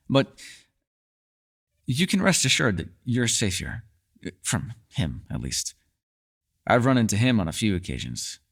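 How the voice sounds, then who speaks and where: Man voicing a theatrical, secretive elf with lively intonation. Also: lowish voice, vocal fry, heavily acted. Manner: theatrical, playful, slightly formal phrasing. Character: mysterious male elf, erudite, teasing, secretive. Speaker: voice actor in an ASMR roleplay. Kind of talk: fantasy ASMR roleplay acting.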